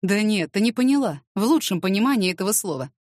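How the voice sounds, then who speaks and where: A woman's voice: medium pitch, animated, fast talker, a little mellow, no room sound, clean studio sound, lightly acted. A woman voicing a confident, cheeky male boss in an audiobook, with a teasing, seductive manner.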